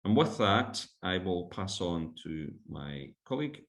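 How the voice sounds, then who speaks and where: A man's voice: low-pitched, big pitch swings, measured pace. A man explaining investment concepts to investors in a webinar, with fillers and restarts as he goes. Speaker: fund manager presenting a webinar.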